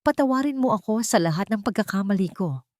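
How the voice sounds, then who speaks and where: A woman's voice: medium pitch, expressive, quick pace, darkish tone, no room sound, clean studio sound, voice pushed up, lightly acted. A woman with a Filipino accent voicing a remorseful, pleading wealthy young woman in an audio story.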